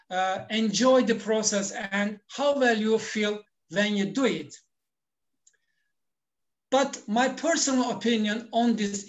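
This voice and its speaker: A male language teacher answers as an interview guest in non-native English. He speaks very slowly and deliberately, explaining his views step by step. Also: sits high.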